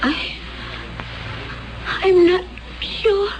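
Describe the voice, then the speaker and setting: An actress playing a gentle, anguished young woman from a future world in a 1970s radio drama, hesitant and pleading, with formal diction. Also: extremely high pitch, fairly even intonation, very slow, full character voice, rough recording. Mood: frightened, resisting.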